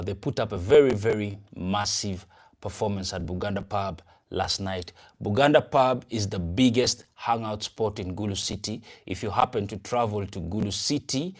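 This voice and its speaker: A young man with a Ugandan English accent, hosting a casual livestream, greeting viewers and repeating phrases in an upbeat way. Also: on the low side. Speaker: channel host and event promoter.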